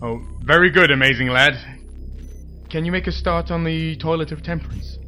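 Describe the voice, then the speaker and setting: An actor playing a self-important, penny-pinching superhero in a comedy audio drama, earnest and declamatory. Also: male voice, fairly high pitch, moderate pace, sharp tone, soft background music.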